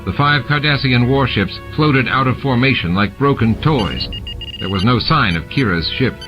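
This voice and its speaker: A man's voice: mid-range voice, normal speed, highs cut off. A man reading dramatic fiction as an audiobook narrator, with music and sound effects added behind him.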